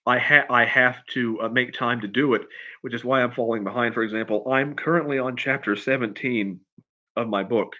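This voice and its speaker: A man chatting casually on a livestream as a writer answering questions, in a halting, rambling way, often repeating and restarting his phrases. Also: medium pitch, steady tone, bright, forceful tone, muffled recording. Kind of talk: casual unscripted chat, answering viewer questions.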